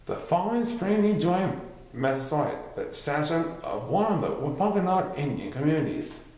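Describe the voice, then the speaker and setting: A man's voice: on the high side, expressive, moderate pace, a little mellow. Man reading a story in a very echoey room. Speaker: reader. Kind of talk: scripted story narration, reading aloud.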